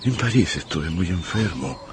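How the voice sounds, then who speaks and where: An actor playing an aging, lovesick general in a radio drama, anxious and pleading with a halting, dramatic delivery. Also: male voice, low-pitched, animated, background noise. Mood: earnest, confessing. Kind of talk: Spanish-language radio drama acting.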